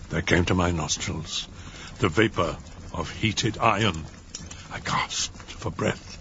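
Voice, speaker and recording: An actor playing a terrified, desperate prisoner of the Inquisition, narrating a gothic horror tale theatrically and haltingly. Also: male voice, deep voice, heavily acted. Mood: horrified. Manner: theatrical, halting delivery with anguished outbursts. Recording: dull, noisy lo-fi recording.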